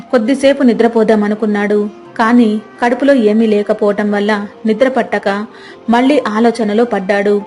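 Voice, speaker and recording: A woman's voice: a little high, darkish tone. A woman storyteller reading a scripted moral tale as narrator.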